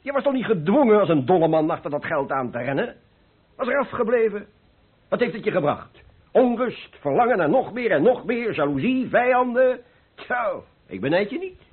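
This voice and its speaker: Older painter, carefree and exuberant, high swooping voice, persuasive, radio drama, muffled old recording. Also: male voice, very animated, normal speed, breathy edge, full character voice. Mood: lecturing, spirited. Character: cheerful, carefree, philosophizing older male painter. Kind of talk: Dutch radio play acting.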